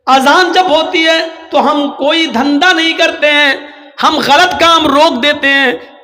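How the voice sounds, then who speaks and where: Guy preaching islamic sermon, really high sharp voice, dramatic storytelling, declaims everything. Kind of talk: impassioned religious sermon told as a cautionary story.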